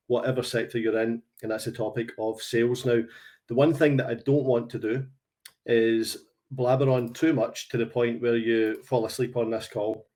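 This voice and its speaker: A man's voice: mid-range voice, flat delivery, moderate pace, warm tone. A man of around forty with a Glaswegian Scottish accent, presenting a business webinar on a call in a conversational, anecdotal way. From Glasgow, Scotland. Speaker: webinar presenter, sales consultant.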